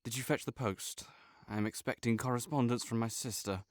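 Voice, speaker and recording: A man playing a sickly, proud and melancholy nobleman in an intimate audio roleplay, speaking formally with measured, old-fashioned phrasing. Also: mid-range voice, steady tone, dry recording, clean studio sound, close to an everyday voice. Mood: frail, politely proud.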